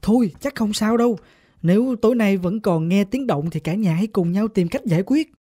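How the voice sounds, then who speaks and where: A woman's voice: medium pitch, expressive, brisk, mellow, close to an everyday voice. A woman voicing a helpful, sensible cartoon pencil in an eager, explanatory manner. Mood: reassuring.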